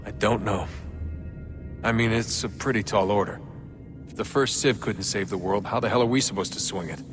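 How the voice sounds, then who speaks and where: A somewhat low-pitched young American man, played by an actor, carries a video game scene as a reluctant hero. He is guarded and tired, talking plainly and without show. Music plays clearly behind him. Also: fairly even intonation, normal speed, lightly acted. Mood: weary, doubtful. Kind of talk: naturalistic video game cutscene acting.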